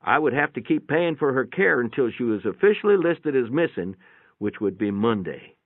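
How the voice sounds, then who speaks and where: Phone-quality dry recording, guy with lowish voice reading a story, folksy matter of fact narrator. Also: big pitch swings, moderate pace, dry recording. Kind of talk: dramatic first-person literary reading. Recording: muffled, narrow phone-like sound, dry.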